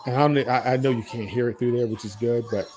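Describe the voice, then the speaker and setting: A man's voice: mid-range voice, fairly even intonation, fast, a little mellow. A man hosting a podcast, talking casually and enthusiastically about music in emphatic, repetitive, slangy phrases. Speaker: podcast host.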